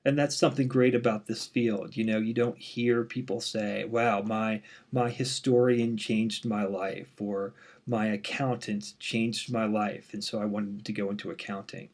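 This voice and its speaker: A man in his thirties with a mild stutter, introducing himself to his online class as the instructor in a relaxed, candid way with frequent "um"s. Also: lowish voice, measured pace. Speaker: university instructor. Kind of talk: casual course introduction.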